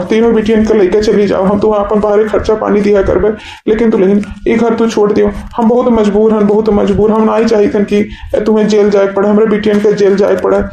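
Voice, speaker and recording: A man's voice: sits high, gravelly, warm tone. A man voicing a helpless, henpecked husband in a village cartoon comedy, pleading and repeating himself in an eastern Uttar Pradesh accent. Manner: pleading, repetitive, halting. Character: henpecked, helpless village husband caught between two wives. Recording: echoey room sound.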